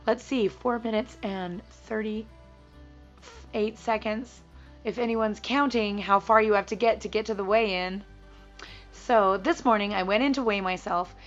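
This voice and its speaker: A woman in her thirties talks casually to her viewers, her voice gravelly and froggy as if she has laryngitis. She is upbeat and a little sappy, quick to laugh. Faint music plays underneath. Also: medium pitch, a bit bright, dull audio. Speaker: weight-loss vlogger. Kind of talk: casual chat to camera.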